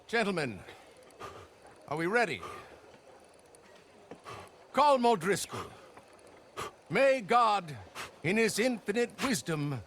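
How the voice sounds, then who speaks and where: A grave, self-important executioner's official for a Western game. His voice is high and very deliberate. He orates formally over faint outdoor noise. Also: very animated, a bit bright, heavily acted. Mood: solemn. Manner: declamatory, formal. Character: solemn, pompous hanging official addressing a crowd. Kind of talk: dramatic video game acting.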